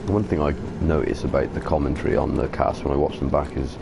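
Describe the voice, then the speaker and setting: A man with a deep voice chatting casually while streaming a game from his bedroom over a headset mic. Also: steady tone, fast talker, vocal fry, noisy background. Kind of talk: casual live gameplay commentary. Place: hot bedroom. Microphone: console headset mic.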